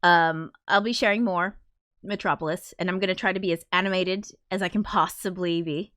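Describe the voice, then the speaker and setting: A woman's voice: fairly low pitch, steady tone, fairly bright tone, no echo, clean studio sound. A young woman hosting her own livestream, chatting casually and a bit self-deprecatingly while a little sick and sniffly. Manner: chatty, self-deprecating, swearing, quick laughs. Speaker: livestream host.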